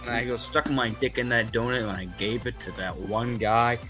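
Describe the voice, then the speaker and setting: A man's voice: mid-range voice, steady tone, normal speed, over music. A teenage boy, a podcast co-host, who keeps interrupting with teasing jabs. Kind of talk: casual chat, heckling.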